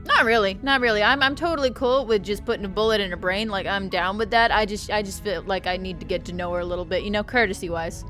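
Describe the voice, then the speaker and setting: A woman's voice: mid-range voice, fast talker, hardly any pauses, fairly bright tone, faint music behind. A young adult woman streaming a game with casual commentary, rambling and joking with stammering restarts.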